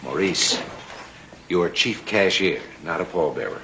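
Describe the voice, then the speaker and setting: A man's voice: deep voice, a little slow, bright, forceful tone. A man narrating a vintage TV drama and playing a flamboyant, genial 1860s banker. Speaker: host and actor. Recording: muffled old TV soundtrack with hiss.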